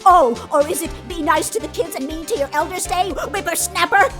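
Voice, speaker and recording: Very shrill, cranky old lady guard. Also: normal speed, over music. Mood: outraged. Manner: blustering, stammering outrage, scolding. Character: cantankerous, indignant old woman security guard, chatty busybody. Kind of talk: dubbed courtroom comedy game acting.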